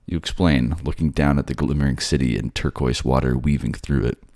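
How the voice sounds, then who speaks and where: Very deep, sleepy male narrator. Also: steady tone, fairly quick, darkish tone, no room sound, studio quality. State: slightly sleepy voice. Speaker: voice actor narrating a roleplay. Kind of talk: scripted second-person ASMR narration.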